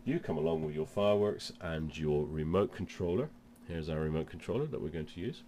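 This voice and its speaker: Low-voiced man with a cold, demonstrating. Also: fairly quick, dark tone, a little hiss or hum. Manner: step-by-step explaining. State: has a cold. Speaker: presenter of product demonstration. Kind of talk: informal instructional demo.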